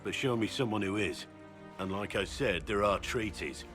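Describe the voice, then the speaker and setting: A seasoned warrior and recruiter from a fantasy game, played by a male actor. His voice sits on the low side, blunt and earnest, with plain-spoken gruffness. Music plays lightly behind him. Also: normal speed, close to an everyday voice. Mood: earnest, resolute. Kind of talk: dramatic fantasy game dialogue. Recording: background music under the voice.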